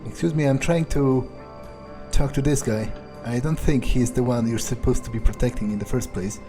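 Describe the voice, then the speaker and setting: Man, mellow dark tone, hesitant musing, in-character gameplay commentary, faint game music behind. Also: medium pitch, normal speed. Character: calculating, detached vampire mercenary for hire. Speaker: player voicing their character in a let's play.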